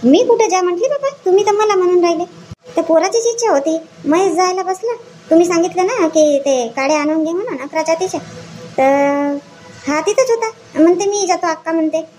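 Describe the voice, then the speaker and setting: A woman voicing a chatty, fussing village woman in an animated family comedy. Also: very high voice, a little fast, slight background noise, low-quality recording. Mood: explaining.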